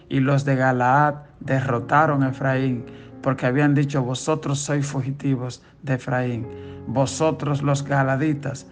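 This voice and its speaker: Dominican pastor preaching over soft guitar. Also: male voice, mid-range voice. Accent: Dominican, southern. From southern Dominican Republic. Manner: reads scripture aloud and explains it in a conversational preaching tone. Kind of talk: daily devotional sermon.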